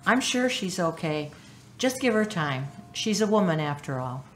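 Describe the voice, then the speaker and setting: A woman's voice: lowish voice, very animated, normal speed. A woman acting a caring, reassuring older sister in a radio drama, on a roomy, noisy recording.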